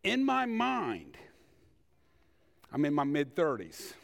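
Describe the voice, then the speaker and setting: An older man preaching in a conversational, storytelling way, with rhetorical questions and deliberate pauses. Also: fairly high pitch, wildly swooping pitch, vocal fry. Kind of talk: sermon with personal anecdotes.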